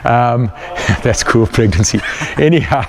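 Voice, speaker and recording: A man's voice: medium pitch, unhurried, gravelly. A South African man, whose first language is not English, leads a casual, enthusiastic tour of his car workshop and often repeats words as he searches for them. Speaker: company founder giving a shop tour. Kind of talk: casual guided tour talk.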